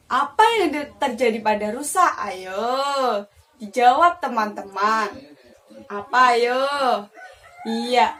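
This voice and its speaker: A young woman tells a story to small children. Her voice is high and bright, and she goes slowly in an expressive, teacherly way. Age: young adult. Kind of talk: animated children's storytelling with questions.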